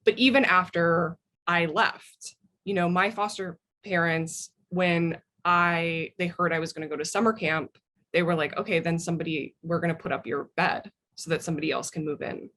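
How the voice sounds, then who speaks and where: Woman, American accent, lowish pitch, interview guest, thoughtful personal storytelling. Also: fairly even intonation, moderate pace, fairly bright tone. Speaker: interview guest, memoir author.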